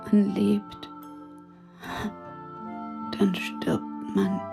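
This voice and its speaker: An actress playing a frail, dying wife in a radio drama, faint and calmly resigned. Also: female voice, mid-range voice, very slow, vocal fry, heavily acted. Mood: frail resignation. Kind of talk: audio drama dialogue. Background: music.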